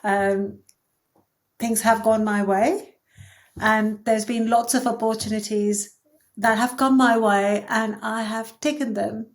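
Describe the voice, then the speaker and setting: A woman's voice: a little high, fairly even intonation, slow pace. Woman, thoughtful podcast guest. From India. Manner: thoughtful, candid, light laughs. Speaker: podcast guest, agency co-founder. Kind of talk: reflective conversational interview.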